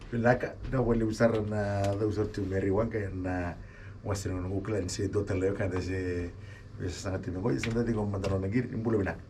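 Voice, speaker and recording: A man's voice: fairly low pitch, fairly even intonation, fast, mellow. A man hosting a radio talk show, answering callers with brief acknowledgments and upbeat replies. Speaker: radio host. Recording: distant mic with clear noise.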